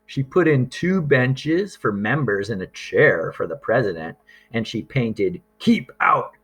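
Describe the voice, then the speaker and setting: A man reading a picture book aloud to young children, deliberate and expressive, drawing out words and pausing for drama. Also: medium pitch, husky.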